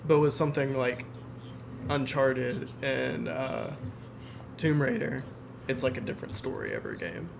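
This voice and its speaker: A man's voice: mid-range voice, expressive, a little slow. A man chatting casually as a podcast guest, in loose phrasing full of filler words. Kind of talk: casual chat. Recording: clearly noisy background.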